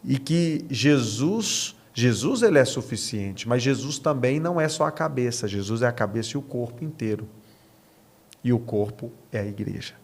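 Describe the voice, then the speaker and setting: A man's voice: medium pitch, lively intonation, moderate pace. A Brazilian man speaking as a pastor and podcast guest, earnest and emphatic, mixing conversational preaching with anecdotes and rhetorical questions. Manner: earnest, emphatic, punctuates points with rhetorical questions and tag checks.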